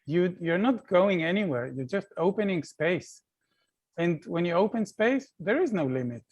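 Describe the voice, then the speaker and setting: A man hosts an online panel over a video call, his voice sitting a little high. He moves ahead thoughtfully, stumbling and repeating words before he lands on his point. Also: animated, normal speed, a little mellow. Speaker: panel host.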